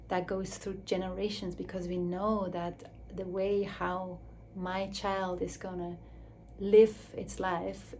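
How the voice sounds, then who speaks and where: A woman speaking English as a second language in a slow, searching reflective talk, with restarts and soft drops to a near whisper. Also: lowish voice, slight background noise. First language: Swiss German or Dutch. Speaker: speaker on her own channel.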